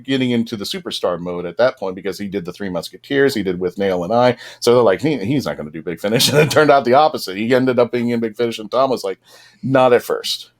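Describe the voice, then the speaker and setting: A man chatting enthusiastically as a podcast panelist, telling things in an animated way and acting out reactions. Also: fairly low pitch, fast. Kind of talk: enthusiastic fan panel chat.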